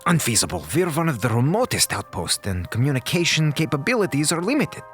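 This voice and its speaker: A pedantic brusque scientist, formal and precise, highish voice that swings a lot, music behind. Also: male voice, big pitch swings, a little fast, a bit bright. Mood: brisk, analytical. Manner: formal, clipped, precise diction. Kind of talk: audio drama acting.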